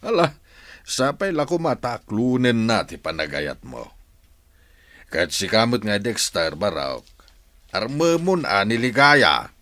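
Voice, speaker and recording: A man's voice: fairly low pitch, wildly swooping pitch, a little slow, sharp tone, no room sound, heavily acted. A teasing village fellow draws out his words in an Ilocano accent. His medium, dry-recorded voice swings widely in the manner of radio comedy drama.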